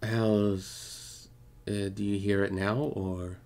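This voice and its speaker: A man with a fairly low voice reads aloud as a livestream host. His delivery shifts between audiobook-style narration and casual, informal talk to his listeners. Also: little pitch movement, very slow, a little mellow. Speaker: streamer reading a book aloud.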